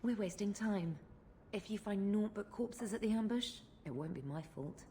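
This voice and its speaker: A woman's voice: mid-range voice, normal speed, warm tone, slight background noise, natural voice. A woman voicing a tough, cynical underworld mercenary in a fantasy video game, with a blunt, menacing, sardonic delivery. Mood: impatient.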